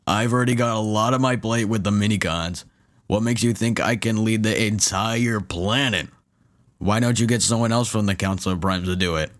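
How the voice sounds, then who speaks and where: An actor playing a reluctant, overworked robot leader in an audio drama, dry and reserved. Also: male voice, lowish voice, moderate pace, a bit bright, natural voice.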